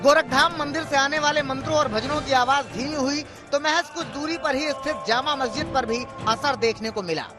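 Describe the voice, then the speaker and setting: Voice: high-pitched, moderate pace, few pauses, music in the background. A news narrator reading a scripted, dramatic television report.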